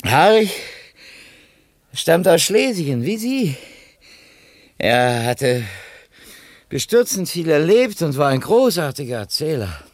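An actor playing a courteous, talkative, enthusiastic publishing editor in a German radio drama from the 1970s. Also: male voice, on the high side, very animated, slow, long pauses, no echo, clean studio sound. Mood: earnest, admiring. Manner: effusive, courteous, articulate.

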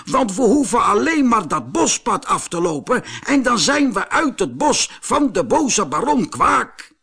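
Man as a high-voiced, kindly old woodcutter. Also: very animated, measured pace, gravelly, bright, forceful tone, slightly rough, heavily acted. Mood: glad, grateful.

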